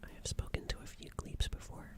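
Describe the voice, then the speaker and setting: A woman doing soft whispered ASMR roleplay as a gentle, caring alien, close to the microphone, soothing and unhurried, softly repeating words. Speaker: ASMR streamer roleplaying a character.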